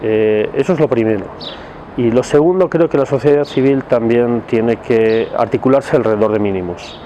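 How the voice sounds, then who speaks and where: A man giving his opinion in a recorded message, speaking haltingly and deliberately as he searches for words. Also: medium pitch, warm tone, muffled recording, slight background noise. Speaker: civil society commentator in a video message. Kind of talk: spoken opinion to camera.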